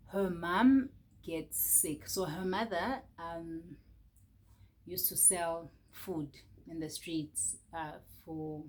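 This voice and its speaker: A Nigerian woman reviewing a book in a casual chat, hesitant, with many fillers and restarts. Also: fairly low pitch.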